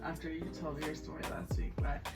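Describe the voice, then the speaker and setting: Low-voiced American woman, slightly distant mic. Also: little pitch movement, a little fast, gravelly, loud music behind the voice. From Massachusetts, United States. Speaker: podcast co-host sharing personal story. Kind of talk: casual conversational testimony.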